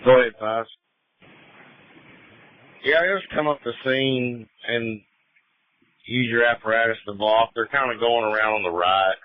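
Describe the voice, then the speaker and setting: A man's voice: medium pitch, steady tone, a little slow, long pauses, dry recording. A man directing a fire crew with casual instructions over a two-way radio. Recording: phone-like narrowband fire radio.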